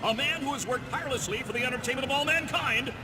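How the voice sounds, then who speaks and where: An actor playing a bombastic master of ceremonies in a video game, introducing a show with grandiose delivery. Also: male voice, extremely high pitch, quick pace, noisy background. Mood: grand, excited.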